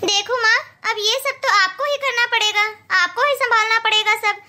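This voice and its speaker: Woman as a piercingly high, dismissive grown daughter. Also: moderate pace, sharp tone, exaggerated voice. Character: dismissive grown married daughter, self-interested. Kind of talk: cartoon moral story acting.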